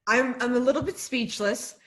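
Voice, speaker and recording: Woman, curator, interviewer, fairly high voice, effusive, video call. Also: fairly bright tone. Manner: effusive, enthusiastic. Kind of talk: interview talk.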